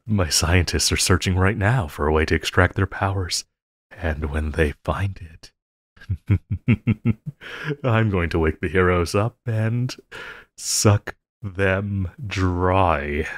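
A man playing an obsessive, lovesick supervillain in an intimate audio roleplay, halting and crooning, by turns sinister and pleading. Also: low voice, no room sound. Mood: sadistic. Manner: halting, crooning, breaking into laughter.

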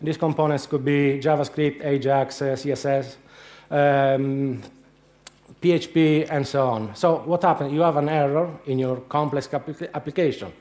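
A man's voice: on the high side, steady tone, moderate pace, a little mellow. Italian-accented man telling humorous stories. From Italy. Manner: anecdotal storytelling with frequent hesitations. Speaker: conference speaker. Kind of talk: humorous conference talk. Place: large dark lecture hall.

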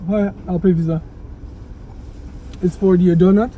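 A man's voice: high-pitched, steady tone, unhurried, phone-quality sound. A man hosting a casual prank vlog from inside a car, talking to the camera and to drive-thru staff. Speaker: prank video host. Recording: noisy car interior, ambient vehicle noise.

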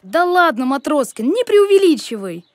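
A woman voicing a sensible, kind young child in an animated series, earnest and reassuring. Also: high-pitched, moderate pace, dry recording.

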